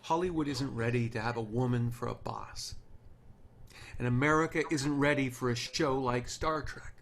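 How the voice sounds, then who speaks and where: A man acts out a dejected, stubborn TV showrunner in a script reading. His mid-pitched voice is halting and conversational, with faint noise on the recording. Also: gravelly, lightly acted. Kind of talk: screenplay table read acting. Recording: noisy online call audio.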